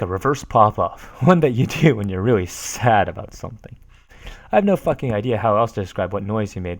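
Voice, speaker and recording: A man's voice: fairly low pitch, no echo. Man doing mock-dramatic post readings. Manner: mock-dramatic, profane, swings between loud and soft. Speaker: stream host. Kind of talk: dramatic reading of forum posts.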